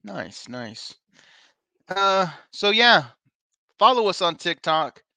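A man's voice: sits high, sing-song, takes it slowly, gravelly, sharp tone, dry recording. A man co-hosting a casual live wrestling podcast from a bar, upbeat and plugging the show between topics. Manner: upbeat, plugging social media, teasing. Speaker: podcast co-host.

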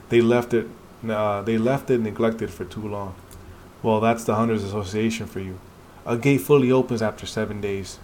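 A man's voice: on the low side, a little mellow, natural voice. Anxious dungeon hunter, read aloud by a man, faint noise. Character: anxious dungeon raid hunters, urgent and wary. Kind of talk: dramatic read-aloud of comic dialogue.

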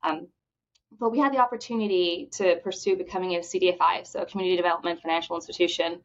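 Lady from the bahamas, low-ish voice, eager fast talker, muffled lo-fi sound. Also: fairly even intonation. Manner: enthusiastic, fast talker with repeated restarts. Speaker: credit union executive, podcast guest. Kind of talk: conversational interview answers. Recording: dull lo-fi audio.

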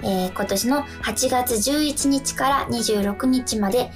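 A woman's voice: on the high side, a little slow, soft background music. A woman co-hosting a radio show, chatting casually and giving announcements in a polite manner.

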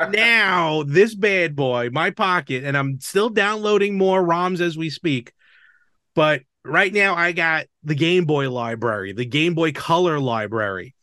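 A man's voice: on the high side, bright, forceful tone, dry recording. A man hosting a podcast, chatting casually and enthusiastically about a hobby. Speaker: podcast host. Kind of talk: casual podcast chat about retro games.

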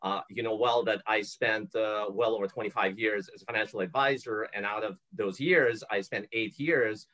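A man from Ukraine hosts an interview, winding through long questions with plenty of "uh" and "you know". His voice sits fairly low. He laughs easily between thoughts. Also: moderate pace, hardly any pauses, bright, forceful tone. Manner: long rambling questions with many fillers. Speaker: host. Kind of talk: interview podcast.

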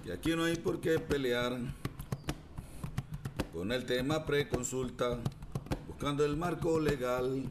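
Man as old folk singer, slow sung verses. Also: medium pitch, over music. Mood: reassuring, rallying. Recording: clearly noisy recording.

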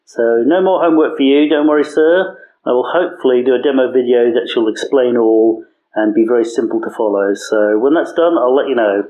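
Male call-in contributor, soft dark tone, informal and friendly, dull low-fidelity message. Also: brisk. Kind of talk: casual voice message.

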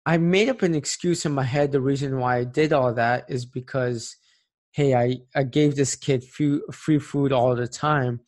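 A man's voice: medium pitch, darkish tone. Man, late twenties, earnest, reflective, plain-spoken, personal monologue, mid-range voice. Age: late 20s. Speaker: speaker sharing personal experience.

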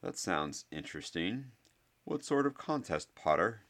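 A man's voice: deep voice, moderate pace. A man voicing a haughty, scornful rich schoolboy with a drawl in a storybook reading.

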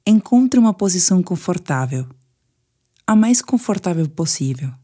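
A woman's voice: fairly low pitch, very animated, moderate pace, warm tone. A woman with a Brazilian Portuguese accent guides a sleep meditation in a soothing, instructive manner.

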